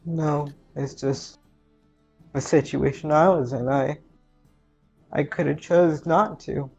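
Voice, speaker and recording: Guilt-ridden teenage orphan girl played by a man, tabletop roleplay; slow, stammering confession, creaky voice, muffled recording with music underneath. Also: fairly high pitch, steady tone, darkish tone, exaggerated voice. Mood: guilty, hesitant. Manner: halting, stammering, repeats words.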